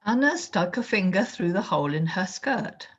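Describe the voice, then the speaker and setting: A woman reading a children's story aloud in an expressive storytelling style. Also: medium pitch, moderate pace, breathy edge, muffled recording. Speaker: storyteller, reader.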